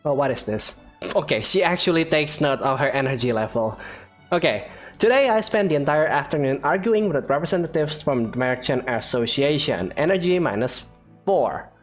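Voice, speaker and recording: A woman's voice: deep voice, animated, moderate pace. A woman hosting a gaming video, casually reading dialogue aloud and commenting, with game music behind her.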